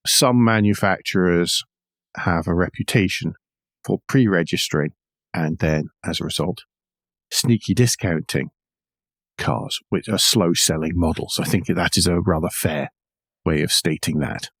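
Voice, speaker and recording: Male podcast host, low pitch, measured explanatory commentary, wry asides, very dry recording. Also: a bit bright, no room sound. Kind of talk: news discussion with commentary.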